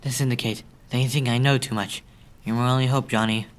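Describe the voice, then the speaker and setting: A man's voice: medium pitch, steady tone, a little fast, lightly acted. A young man playing a frightened informant on the run in a noir radio drama, urgent and anxious. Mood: fearful. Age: young adult. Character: hunted, fearful male informant pleading for help. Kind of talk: 1940s radio noir acting.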